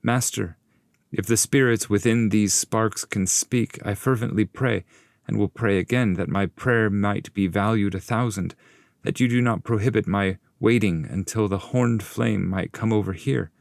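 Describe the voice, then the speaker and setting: A man's voice: on the low side, unhurried, vocal fry, no room sound, clean studio sound, voice pushed up. A man voicing a reverent, eager pilgrim poet who speaks to his master in earnest, formal, pleading verse. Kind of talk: dramatic audiobook reading of epic verse.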